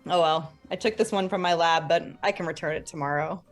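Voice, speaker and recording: A woman's voice: on the low side, brisk, soft background music, lightly acted. A young woman playing a spiteful, domineering college student in a dramatic reading, with a catty, dramatic delivery. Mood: catty, irritated.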